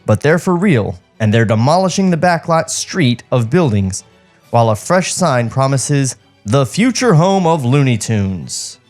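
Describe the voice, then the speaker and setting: A man narrates a script for a comedy podcast with wry asides. His mid-pitched voice is very animated, with music in the recording. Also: a little slow. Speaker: podcast host reading stage directions.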